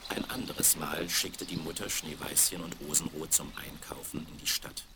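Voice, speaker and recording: Deep, gravelly male fairy-tale narrator. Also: fairly even intonation, moderate pace. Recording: crackly, very noisy 1970s vinyl record.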